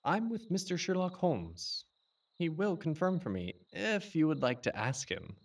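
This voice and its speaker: A man playing a polite, earnest gentleman in a Victorian detective audio drama, speaking courteously and formally. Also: a little high, huge pitch swings, a little slow, full character voice. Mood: composed.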